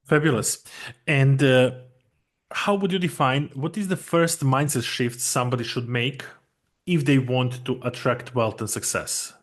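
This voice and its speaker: A man's voice: medium pitch, measured pace. A man from Serbia, hosting an interview and telling a personal story in an earnest way. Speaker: host. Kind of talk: conversational interview.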